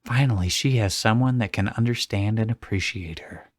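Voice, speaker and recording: A man's voice: on the low side, moderate pace, dry recording. A man co-hosting, reading out character lines and riffing with dry, mocking remarks. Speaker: co-host.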